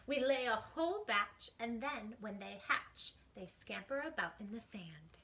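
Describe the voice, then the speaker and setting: A woman hosting children's storytime, reading a rhyming picture book aloud in an animated, expressive way. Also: on the high side, a little slow, slight background noise. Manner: animated, exclaiming, dramatic hushes.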